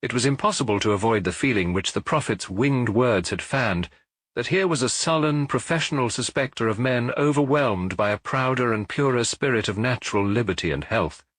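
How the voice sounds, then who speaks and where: A man's voice: medium pitch, brisk, a bit bright, no echo. A man reading a literary detective story as scripted audiobook narration.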